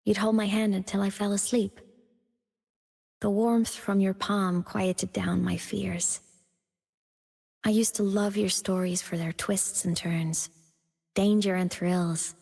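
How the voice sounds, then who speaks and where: A woman voicing a young student turned digital ghost in a video game, cheerful yet wistful and devoted, with a soft, earnest delivery. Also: mid-range voice, gravelly, husky. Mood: wistful, tender. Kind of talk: scripted video game character monologue. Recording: long reverb on the voice.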